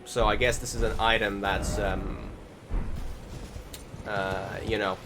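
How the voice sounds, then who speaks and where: A man's voice: lowish voice, little pitch movement, takes it slowly. A young man giving casual voice-over commentary on his gameplay, rambling with many fillers and restarts. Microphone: desktop recording setup. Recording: game music behind the voice.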